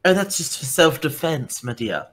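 A man voicing a showy, flattering female satyr performer in a tabletop game. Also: a little high, moderate pace, slight background noise. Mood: boastful. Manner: smooth-talking, showy, fawning.

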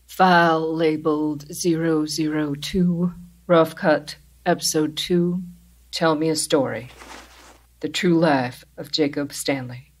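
A woman acts an announcer reading file labels. She is low-pitched and slow, with faint noise and clicks. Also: steady tone, rough recording. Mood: neutral.

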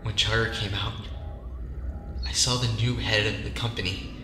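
A man's voice: lowish voice, steady tone, normal speed, natural voice. Echoey, earnest long-lost son played by a man. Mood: sorrowful, earnest. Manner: earnest, pleading. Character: long-lost grown son, gentle, pleading with his father. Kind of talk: dramatic audio drama acting. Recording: echoey voice under loud music.

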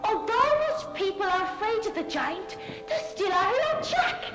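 Young woman doing a kindly panto fairy, super high voice, grand rhymes, loud music behind. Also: big pitch swings, moderate pace, echoey room. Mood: reassuring, grand. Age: young adult. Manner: declamatory, carefully projected. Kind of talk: theatrical rhyming pantomime prologue. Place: theatre stage, indoors.

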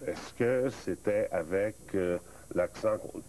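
A middle-aged man with a Quebec French accent, hosting a TV talk show and asking halting questions with many pauses. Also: sits low, a little mellow, a little hiss or hum. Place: TV studio set, seated at table.

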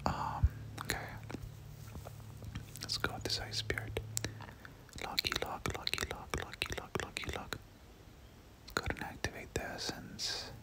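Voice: unhurried, pauses often, pushed and bright. Whispered voice, ASMR game commentary, casual slang, muttered repetitions, close to the mic. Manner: casual, slangy, muttering reactions and repeating phrases. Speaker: gaming video creator.

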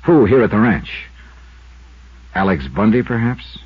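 A man's voice: lowish voice, sing-song, measured pace, breathy edge, heavily acted. A man playing a shrewd, unflappable investigator with an American accent in a 1950s radio detective drama. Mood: probing. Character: shrewd, unflappable male insurance investigator, probing and wry. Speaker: lead actor and narrator. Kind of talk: 1950s radio detective drama acting and first-person narration. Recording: dull, band-limited 1950s radio recording.

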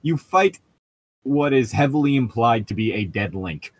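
A man gives casual, mocking commentary as a co-host. His voice sits at an ordinary male pitch and is recorded dry, with little room in it. He rambles through his opinions and swears freely. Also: lively intonation, normal speed, no room sound. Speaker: co-host of a group read-along commentary show.

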